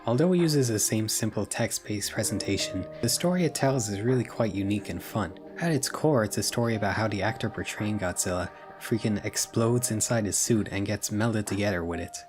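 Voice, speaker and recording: A man narrating a scripted video essay in an informal, blunt way, with casual opinionated commentary and wry asides. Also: on the low side.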